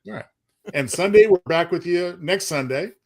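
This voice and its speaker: A man's voice: high-pitched, normal speed, vocal fry. A man co-hosting a casual livestream, genial and playful as he talks. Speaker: show co-host.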